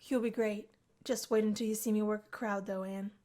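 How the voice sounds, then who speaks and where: A cocky, friendly young street musician from a visual novel, acted out by a woman. He talks casually and coaxes like a smooth operator. He sounds easygoing and sure of himself. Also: medium pitch, flat delivery, fairly quick, warm tone, natural voice. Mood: coaxing, casual. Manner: casual, coaxing, smooth-talking. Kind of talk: visual novel character voicing.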